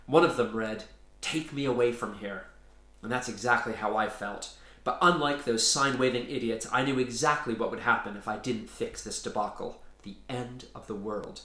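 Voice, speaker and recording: A man's voice: medium pitch, moderate pace, bright, forceful tone. A man reading a children's story as its storyteller, in an informal way. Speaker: author and storyteller. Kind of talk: animated children's story reading with casual introduction. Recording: roomy echo.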